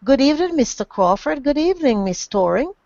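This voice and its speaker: A middle-aged woman with a Jamaican accent, speaking formally and earnestly as a motivational coach, with deliberate, emphatic phrasing. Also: high-pitched, big pitch swings, darkish tone, dry recording. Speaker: guest, transformational coach. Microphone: online webinar connection. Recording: dry, dull lo-fi webinar audio.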